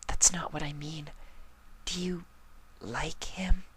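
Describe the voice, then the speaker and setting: A man voicing a timid, gentle female pony who talks in a hushed half-whisper. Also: a little high, steady tone, slow pace, slight background noise, pitched above the performer's own voice.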